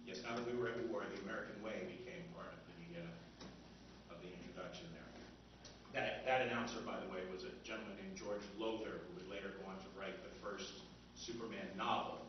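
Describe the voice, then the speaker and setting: A man giving an informal, explanatory lecture in a halting way. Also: sits low, fairly even intonation. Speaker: author giving a convention talk. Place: convention meeting room, indoors. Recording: echoey hall, distant mic, muffled, loud noise.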